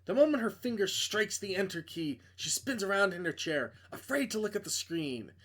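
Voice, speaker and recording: A man's voice: sits high, sing-song, normal speed, a bit bright. A man reading a visual novel aloud as a streamer, narrating dramatically and breaking off into casual, joking asides to correct himself.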